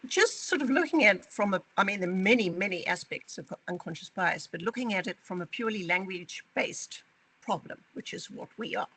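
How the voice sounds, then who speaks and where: An interviewee speaks in a corporate video with a gravelly, husky edge to the voice. The tone is bright and pointed, and the delivery is emphatic, often doubling back on words. It is steady, firm talk about workplace practice. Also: medium pitch, a little fast, poor audio. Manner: emphatic, repeats words while searching for phrasing. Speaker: interviewee from a language training company.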